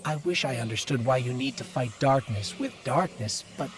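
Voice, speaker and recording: An actor playing a small, loyal robot companion in a video game, a man speaking gently and earnestly. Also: mid-range voice, moderate pace, slight background noise, lightly acted. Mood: sincere, apologetic. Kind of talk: scripted video game cutscene acting.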